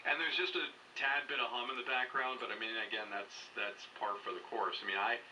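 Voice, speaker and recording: A man talking over the radio as an amateur operator, giving casual technical remarks in a halting, thinking-aloud way. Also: medium pitch, steady tone, pushed and bright. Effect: heard over radio transmission. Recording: distant radio signal with clear noise.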